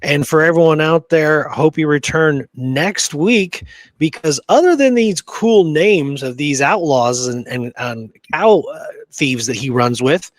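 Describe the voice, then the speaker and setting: A man's voice: fairly high pitch, lively intonation, a little slow, dry recording, clean studio sound. A man co-hosting a podcast in casual, slangy conversation, agreeable and informal. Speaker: podcast co-host. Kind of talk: casual chat.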